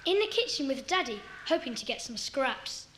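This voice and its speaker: A woman playing a bossy, earnest schoolchild who leads a secret club in a children's audio drama, speaking briskly and commandingly. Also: high voice, big pitch swings, fairly bright tone. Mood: brisk, organising. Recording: faint background noise, cassette audio drama.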